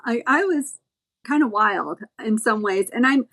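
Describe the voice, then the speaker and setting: A woman's voice: on the high side, expressive, fairly bright tone. Reflective woman podcast guest. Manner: reflective, halting, with fillers and repeated phrases. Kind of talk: casual group chat.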